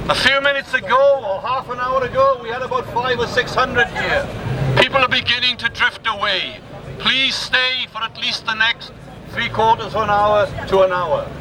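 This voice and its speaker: Older Welsh male orator, very high raised voice, rhetorical and emphatic, distant mic outdoors with a noisy crowd. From Swansea, Wales. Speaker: protest rally speaker. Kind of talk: political rally speech. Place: outdoors in a city square.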